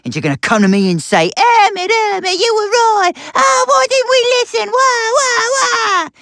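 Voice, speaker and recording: A male actor playing an eccentric, paranoid old man in a video game, with a folksy, rambling, grumbling and excitable manner. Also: very high voice, normal speed, creaky, no echo, clean studio sound, heavily acted. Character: eccentric, cloud-paranoid old man, cantankerous ranting inventor. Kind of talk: video game character acting.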